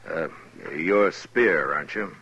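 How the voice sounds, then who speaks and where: An actor playing a gruff, world-weary but fair frontier marshal who also narrates a 1950s radio western. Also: male voice, sits low, takes it slowly, pushed and bright, full character voice. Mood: calmer, fair. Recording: muffled old radio recording.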